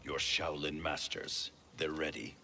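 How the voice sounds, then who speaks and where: A man's voice: fairly even intonation, a little slow, full character voice. A man voicing a grim, battle-hardened warrior leader in a game cutscene, stern and wary. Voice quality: gravelly, very deep.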